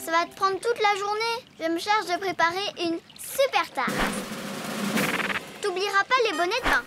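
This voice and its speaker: A woman's voice: very high-pitched, normal speed, heavy background noise. A woman voicing a confident, bossy little girl in a French children's cartoon, speaking expressively. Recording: music behind the voice.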